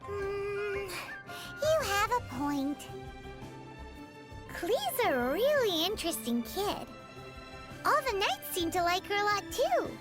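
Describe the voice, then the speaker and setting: A woman voicing a tiny, cheeky, childlike fairy companion in a video game, with a squeaky, emphatic delivery. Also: very animated, slow pace, pauses often, full character voice. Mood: eager. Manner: refers to self in third person, emphatic. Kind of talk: video game character dialogue. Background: music.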